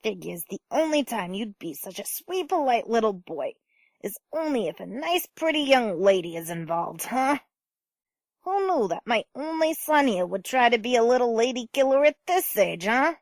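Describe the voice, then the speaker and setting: Woman acting a bossy mother, blunt, slangy, teasing, highish lively pitch, audio drama. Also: moderate pace, no echo. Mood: mocking. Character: brash, teasing mother of a bratty boy.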